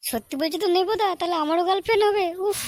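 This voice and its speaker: A man voicing an excitable, girl-crazy young male sidekick in an amateur comedy cartoon, with a squeaky voice. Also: racing, over loud music. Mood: excited.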